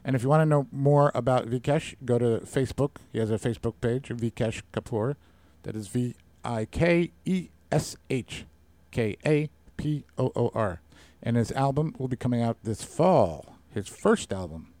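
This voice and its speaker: A man from New York hosting a casual radio interview, upbeat and jokey, quick to chime in. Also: mid-range voice, animated, darkish tone, no echo. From Yonkers, New York. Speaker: radio show host.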